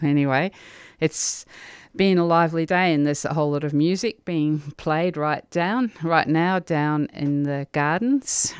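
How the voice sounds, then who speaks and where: Crisp, dry radio host. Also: mid-range voice, no room sound. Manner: chatty and relaxed, with small restarts. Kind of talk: casual radio chat between songs.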